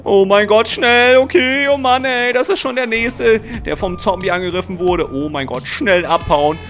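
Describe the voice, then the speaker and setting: A young man narrating and acting out a game role-play in an excitable, exclamatory, dramatic way. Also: high-pitched, moderate pace, soft background music. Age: young adult. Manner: breathless, exclamatory, dramatic and repetitive.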